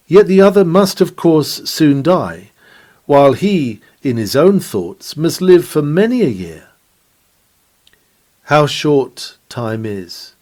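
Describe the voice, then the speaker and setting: Man, mellow warm voice, mid pitch, earnest oratorical preaching, formal old-fashioned sermon delivery. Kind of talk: dramatic read-aloud 19th-century sermon.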